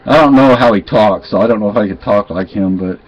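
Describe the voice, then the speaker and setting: A man's voice: fairly low pitch, fast. Folksy Southern man, lo-fi home vlog. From Texas. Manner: rambling, folksy, with many restarts. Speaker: vlogger. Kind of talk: casual storytelling chat. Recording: dull lo-fi sound.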